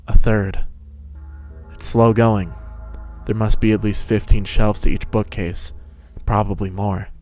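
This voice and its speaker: Low, even male story narrator. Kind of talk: dramatic scripted story narration.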